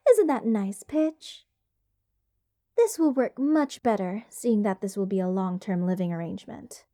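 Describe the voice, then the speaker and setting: A woman playing an eccentric, curse-loving mage in an ASMR fantasy roleplay, soft and soothing, sweetly rambling with wry endearments. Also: medium pitch, big pitch swings, unhurried. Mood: pleased, cooing.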